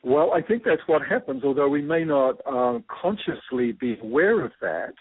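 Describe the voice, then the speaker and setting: A man's voice: a little high, sing-song, normal speed, breathy edge, dry recording. A man with a New Zealand accent, a guest explaining ideas through a personal story, thoughtful and full of fillers. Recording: tinny phone-like line.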